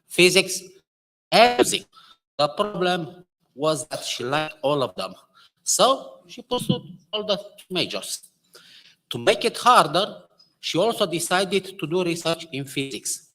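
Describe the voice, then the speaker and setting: A man's voice: fairly high pitch, pushed and bright, rough recording. A man with a Romanian accent, a physics professor, giving a formal and enthusiastic introduction.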